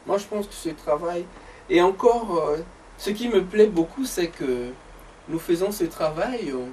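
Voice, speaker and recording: A man from the DR Congo in a casual conversation who speaks in a measured, reflective way with hesitant pauses. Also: high voice, animated, a little mellow, slight background noise. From Democratic Republic of the Congo. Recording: distant mic with faint ambience.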